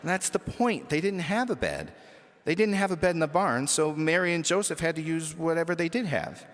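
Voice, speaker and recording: Man doing a fed up lady director in a kids story, raised pitch, firm, hall echo. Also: expressive, moderate pace. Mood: patiently explaining. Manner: patient explaining that turns sharp and no-nonsense. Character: firm, exasperated woman directing a children's pageant. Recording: echoey hall reverb with music.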